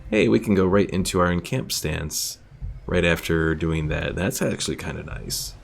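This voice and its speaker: Low, gravelly-voiced man streaming a game. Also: moderate pace. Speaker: livestreamer, host of a gaming stream. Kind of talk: casual strategy game commentary, chatting with viewers. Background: game music.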